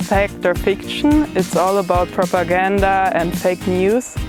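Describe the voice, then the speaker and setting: A woman's voice: medium pitch, unhurried. A young woman from Germany with a German accent giving a testimonial as an exchange student. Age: young adult. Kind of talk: interview testimonial. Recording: music playing behind the voice. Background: music.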